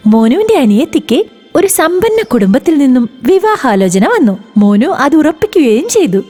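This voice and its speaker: Expressive lady reading a kids' cartoon story, swoopy lively pitch, mellow tone. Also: normal speed, soft background music. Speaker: narrator. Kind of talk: storybook narration for children.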